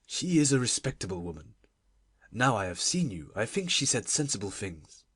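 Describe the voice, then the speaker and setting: A weary, middle-aged gentleman guardian for a storybook. The voice is dropped low and husky, with a fretful, absent-minded mutter. It sits in a dry, close sound. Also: sing-song, moderate pace, dry recording, exaggerated voice. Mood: weary, worried.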